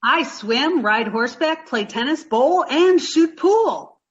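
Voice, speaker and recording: A woman's voice: high voice, expressive, takes it slowly, dull audio. A woman playing a cheerful, naive, blunt young roommate in a 1940s-style radio comedy. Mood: blunt, earnest. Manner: naive, matter-of-fact.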